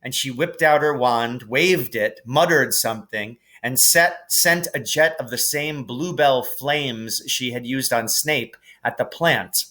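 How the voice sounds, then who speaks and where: A man reading a storybook aloud as scripted narration, in an expressive, dramatic manner. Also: medium pitch, takes it slowly, hardly any pauses.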